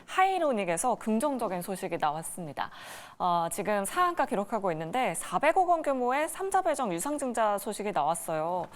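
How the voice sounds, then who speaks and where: A woman's voice: medium pitch, big pitch swings, fairly quick, hardly any pauses. A woman hosting a stock market broadcast, talking conversationally and politely putting questions to a guest. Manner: polite, inquiring. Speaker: host of a stock market show.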